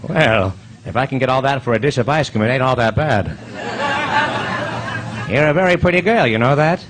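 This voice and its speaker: A male actor plays a leering comedian hosting an old radio quiz. He teases and ad-libs slyly, with a very lively, swinging delivery. The live stage recording has clear noise behind him. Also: medium pitch, moderate pace, a loud crowd, close to an everyday voice. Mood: teasing. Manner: sly, teasing, ad-libbing banter. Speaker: quiz show host.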